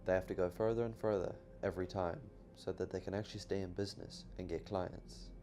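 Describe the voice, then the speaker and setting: A man narrating his documentary in a measured, plainly read scripted voice. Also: low voice, steady tone, mellow. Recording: music behind the voice.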